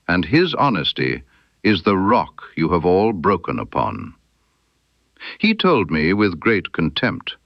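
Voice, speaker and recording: A man voicing a stern, incisive detective in an audiobook mystery, precise and emphatic, with dramatic pauses. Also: lowish voice, creaky, no echo, muffled recording, heavily acted. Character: stern, incisive male detective laying out his case.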